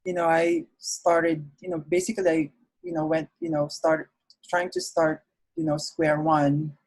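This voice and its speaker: A man from the Philippines, a nurse's aide, talking casually over a video call, soft-spoken and full of "you know" fillers. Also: a little high, little pitch movement, measured pace, poor audio. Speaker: nurse's aide recovering from illness, interview guest. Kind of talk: casual interview conversation.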